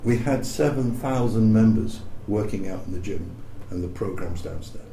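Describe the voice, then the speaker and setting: An older man from Ipswich, telling casual anecdotes in a lively, animated way. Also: on the low side, moderate pace, roomy sound, slight background noise, low-quality recording. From Ipswich, England. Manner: lively, animated, acts out voices and sound effects. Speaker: storyteller guest.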